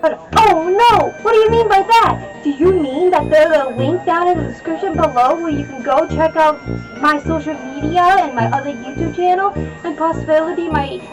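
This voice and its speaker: A woman playing an excitable, naive sidekick who asks curious questions in a video skit. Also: very high voice, normal speed, over loud music, pitched above the performer's own voice, poor audio. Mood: puzzled, excited.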